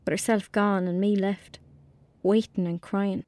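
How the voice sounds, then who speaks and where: A woman's voice: medium pitch, fairly even intonation, a little slow, darkish tone, dry recording, natural voice. Woman playing a lonely young Irish girl, dry close sound. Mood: glum, wistful. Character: lonely, anxious young girl in rural Ireland, sullen and imaginative. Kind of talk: naturalistic audio drama acting.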